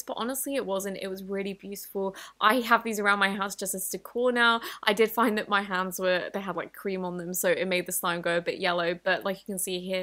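Bright-voiced woman, casual review voice-over. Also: medium pitch. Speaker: video host, product reviewer.

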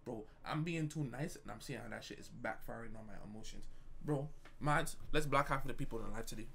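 A young man chatting casually on a live stream, slangy and animated as he reacts to viewer comments. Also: mid-range voice, a little fast, vocal fry, noisy background. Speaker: livestreamer.

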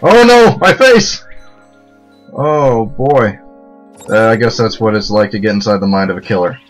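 A young man with a deep voice giving casual, joking let's play commentary, rambling and laughing as he plays. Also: expressive, a little slow, faint music behind.

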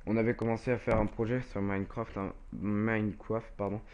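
Brief: low-pitched teen co-player on a voice call, calm and plain. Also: male voice, brisk, clear, resonant tone, highs cut off. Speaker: co-player and friend. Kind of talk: casual gaming chat.

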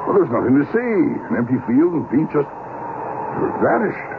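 Older male role, exasperated skeptic, blustering, swinging pitch, thin old radio sound. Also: big pitch swings, moderate pace. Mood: stunned. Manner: blustering, sputtering repeats. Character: gruff, exasperated, disbelieving old man. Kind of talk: 1970s radio drama acting. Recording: noisy narrowband vintage radio recording.

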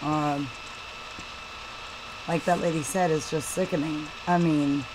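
A woman's voice: low voice, fairly even intonation. Woman rambling over a news clip, lots of ums, noisy mic. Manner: halting, many fillers and restarts. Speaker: channel host reading news and commenting. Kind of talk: casual commentary over a screen recording.

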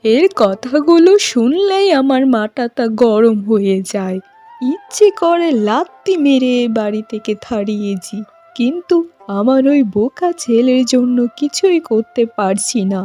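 A woman's voice: fairly high pitch, expressive, unhurried, dark tone. A woman playing a nagging, melodramatic middle-aged village mother-in-law in a cartoon, speaking. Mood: angry nagging. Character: domineering, scolding village mother-in-law, manipulative. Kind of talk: cartoon voice acting. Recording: music behind the voice.

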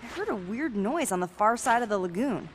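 Woman playing a high, brisk teenage girl. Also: animated, natural voice. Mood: matter-of-fact. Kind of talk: cartoon game acting. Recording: noisy game sound effects behind.

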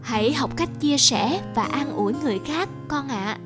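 A woman playing a patient, caring young mother in a cartoon, soothing and gently instructive. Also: high voice, expressive, moderate pace, music in the background. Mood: gently earnest. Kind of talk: cartoon voice acting.

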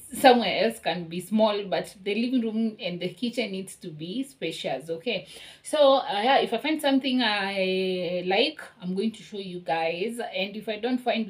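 A young woman in her twenties chatting casually to her viewers in a home vlog, her voice slightly hoarse as she is losing it, with lots of fillers and repeated "you guys". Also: mid-range voice. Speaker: vlogger. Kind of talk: casual vlog talk to camera. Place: small apartment kitchen and hallway, indoors. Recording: echoey small room with faint music.

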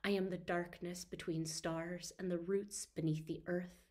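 A woman voicing a proud, ancient male god of darkness in an imperious, deliberate storybook reading. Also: fairly low pitch, flat delivery, darkish tone. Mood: cold defiance.

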